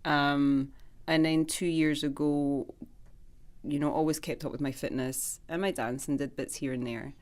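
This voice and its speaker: A woman's voice: sits low, steady tone, unhurried. A Scottish woman in her thirties, a personal trainer and dance teacher, chatting as a guest in a radio interview and laughing easily. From Scotland.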